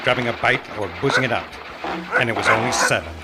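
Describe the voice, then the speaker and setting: A man's voice: mid-range voice, fast talker, natural voice, poor audio. A man playing a world-weary, hard-boiled private eye narrating a noir radio drama. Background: loud music.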